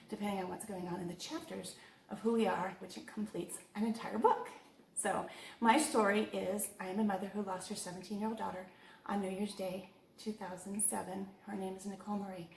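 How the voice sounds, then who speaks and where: A woman's voice: mid-range voice, slight background noise. Upbeat woman hosting from a distance. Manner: upbeat, chatty, laughs easily. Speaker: host. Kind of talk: casual interview talk. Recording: roomy sound from a distance, faint ambience.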